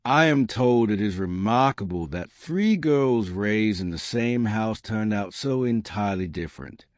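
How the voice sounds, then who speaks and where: Man voicing a composed, dry-witted young gentlewoman. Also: fairly low pitch, expressive, dry recording. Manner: unhurried, matter-of-fact, dry. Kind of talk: audiobook character dialogue.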